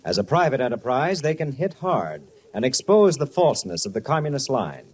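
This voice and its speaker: A man's voice: medium pitch, sing-song, fairly quick, husky. A man giving a scripted, emphatic, polished announcement in 1950s radio style. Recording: vintage radio broadcast with music underneath. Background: music.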